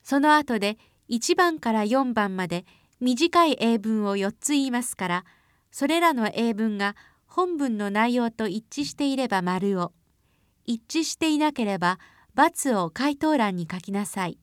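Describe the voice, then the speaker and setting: A woman announcing formal exam instructions in an even, formal manner, recorded on a close studio mic. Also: fairly high pitch, fairly quick, no echo. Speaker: test announcer.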